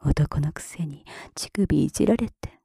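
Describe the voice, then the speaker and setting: A cool, mocking mistress, played by a woman, whispers very close to the mic in a deep, mellow, very breathy voice. She draws her words out slowly and teases throughout. The sound is dry and intimate, with no room around it. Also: dry recording, heavily acted. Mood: teasing, mocking. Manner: soft close whisper with taunting laughs. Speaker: voice actress. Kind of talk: whispered ASMR roleplay.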